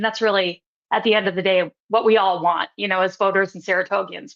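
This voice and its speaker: A woman hosting a local politics podcast, interviewing a candidate in a measured way, hedging with fillers. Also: mid-range voice, a bit bright, lo-fi sound. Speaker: podcast host.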